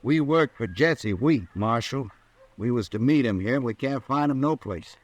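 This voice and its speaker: An actor playing a talkative, domineering cowboy ranch hand with folksy rural speech in an old-time radio Western. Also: male voice, mid-range voice, lively intonation, moderate pace, no echo, lo-fi sound, natural voice. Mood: folksy, ingratiating.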